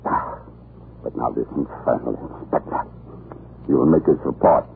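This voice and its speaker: An actor playing a cruel, scheming prison-island commandant in an old radio drama, gravelly, deliberate and menacing. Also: male voice, full character voice. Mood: grim scheming. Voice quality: very deep, gravelly. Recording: muffled, noisy old radio recording.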